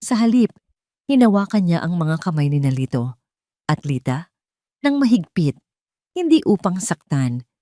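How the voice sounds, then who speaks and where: A woman narrates an audio drama with a measured, melodramatic delivery. Her voice sits a little low for a woman and is recorded very dry and close, with no room around it. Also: sing-song, dark tone, no room sound. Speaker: storyteller, narrator.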